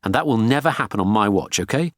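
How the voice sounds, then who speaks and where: A man's voice: lowish voice, animated, fast, no echo, clean studio sound, pitched above the performer's own voice, lightly acted. A man playing a male detective superintendent in an audiobook, firm, probing and authoritative. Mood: stern.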